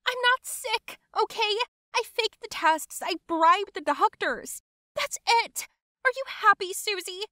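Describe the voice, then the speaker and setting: A woman's voice: fairly high pitch, big pitch swings, normal speed, few pauses, dry recording, studio quality. A woman voicing a spiteful, shrieking young female cousin in a dramatic story. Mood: hysterical.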